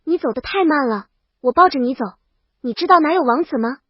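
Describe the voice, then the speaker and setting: A bold young storybook princess, played by a woman narrator, with a high voice and a brisk, blunt, self-assured manner. The sound is very dry and a little dull. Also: expressive, no echo.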